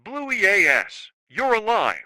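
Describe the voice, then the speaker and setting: Man acting as a high-pitched, animated young hero. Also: unhurried, no room sound, exaggerated voice, rough recording. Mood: confrontational. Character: earnest young male bomber hero leaving grave messages. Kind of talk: amateur web series voice acting.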